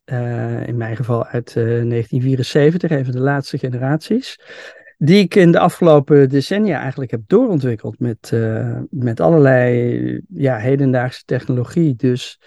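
Mellow, creaky man, dryly recorded. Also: mid-range voice, moderate pace, no echo. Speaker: musician, interviewee. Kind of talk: explanatory interview talk.

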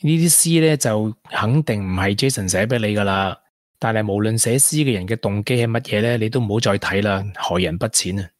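An actor playing a supportive, sensible young boyfriend in a Hong Kong Cantonese radio drama. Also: male voice, lowish voice, brisk, dry recording, clean studio sound, close to an everyday voice. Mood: resolute.

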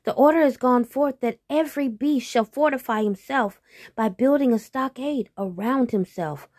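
A woman's voice: sits high, normal speed, darkish tone, no room sound, studio quality, pitched above the performer's own voice. A woman voicing a sly male trickster rabbit in a storybook tale, explaining things earnestly with feigned innocence. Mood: feigned earnestness. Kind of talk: storybook character voice.

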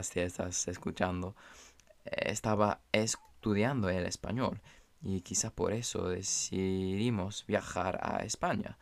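A man who is a native English speaker, talking Spanish with an English accent, hosting a language-learning podcast in a measured, explanatory way with light jokes. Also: lowish voice, creaky. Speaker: podcast host. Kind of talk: scripted language-learning monologue.

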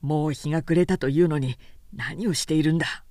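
A stubborn young craftsman speaks in a lowered, curt voice, as a woman puts him on. The voice is mellow and dry. His manner is gruff and blunt. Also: fairly quick, no echo. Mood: stern.

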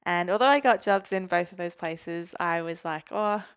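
A woman's voice: fairly low pitch, normal speed, clear, resonant tone, no echo. A woman from Norway chatting informally as a podcast guest, in a casual, chatty way. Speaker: podcast guest, physiotherapist and weightlifter. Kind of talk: casual interview chat.

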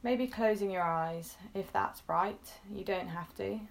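Very slow-paced woman guiding meditation. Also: mid-range voice, gravelly. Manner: gentle, unhurried, reassuring, with pauses between short phrases. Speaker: meditation guide. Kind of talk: guided meditation, instructional.

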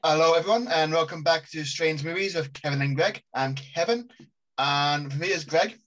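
A man's voice: on the high side, moderate pace, soft background music, low-quality recording. A man co-hosting a casual film podcast, reading out trivia. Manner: relaxed, amused, reads out trivia facts. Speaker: podcast co-host. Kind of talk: casual chat.

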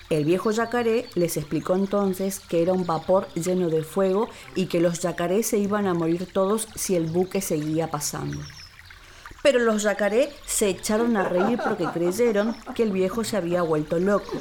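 A woman narrating a scripted children's story in an expressive, theatrical way. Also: mid-range voice, slight background noise.

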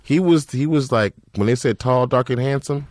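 A man hosting a casual comedy podcast, animated and joking, swearing freely. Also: medium pitch, moderate pace, no echo, studio quality. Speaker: podcast host.

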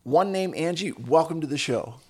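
A man's voice: on the high side, expressive, moderate pace, slightly rough. A man hosting a podcast interview, introducing his guest with upbeat enthusiasm. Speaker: podcast host. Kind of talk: casual interview.

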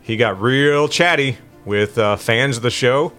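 A man casually chatting and joking while playing a video game on a podcast, reacting loudly to the screen. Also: mid-range voice, unhurried, faint music behind. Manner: jokey, animated reactions. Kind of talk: casual chat while gaming.

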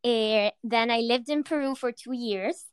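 Young Latin American woman founder, smooth voice. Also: on the high side, unhurried, dry recording. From Argentina. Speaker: founder of a fitness company. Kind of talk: interview answers.

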